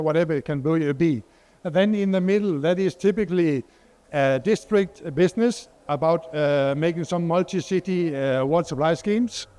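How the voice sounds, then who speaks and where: A man's voice: on the high side, a little mellow, clear, resonant tone. A middle-aged man from Denmark, a non-native English speaker, giving a conference presentation as a water expert, hesitant with many fillers and restarts. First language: Danish.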